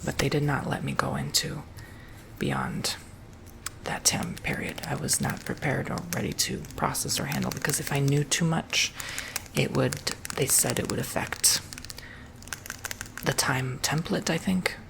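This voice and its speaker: A man whispering softly and gently through an ASMR roleplay, as if doing someone's makeup. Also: medium pitch, lively intonation, vocal fry, poor audio. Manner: soft whispering, slow and gentle.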